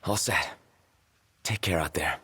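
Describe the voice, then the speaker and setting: Slow, laconic young hero. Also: male voice, sits low, pushed and bright, slightly rough, heavily acted. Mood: guarded. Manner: terse, casual. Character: laconic, low-key young male hero. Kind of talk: video game cutscene acting.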